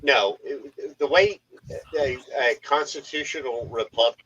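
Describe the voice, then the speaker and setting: A man's voice: medium pitch, measured pace, fairly bright tone, husky, lo-fi sound. A man from the United States, talking as a guest in a casual chat, hesitant and explanatory.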